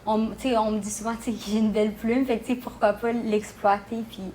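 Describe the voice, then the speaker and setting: A woman's voice: a little high, little pitch movement, quick pace, mellow, a little hiss or hum, low-quality recording. Young Quebec woman chatting informally. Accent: Quebec French. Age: young adult. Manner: informal, with many filler phrases. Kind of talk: casual interview chat.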